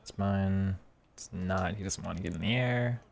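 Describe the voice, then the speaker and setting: Hoarse low-voiced gaming streamer. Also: male voice, moderate pace. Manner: thinking aloud, reacting to play. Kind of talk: casual gameplay commentary.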